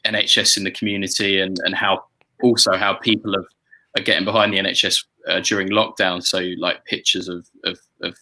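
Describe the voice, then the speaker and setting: Bright-voiced man chatting, fairly low pitch. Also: level tone, rough recording. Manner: hesitant, halting, repeating words as he goes. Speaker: musician and song arranger hosting a group chat. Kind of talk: casual conversational chat about music-making.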